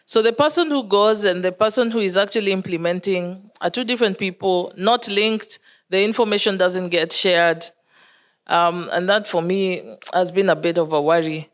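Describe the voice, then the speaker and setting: A Kenyan woman speaking as a conference panelist, explaining in a measured way with some pauses and fillers. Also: mid-range voice. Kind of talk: panel discussion.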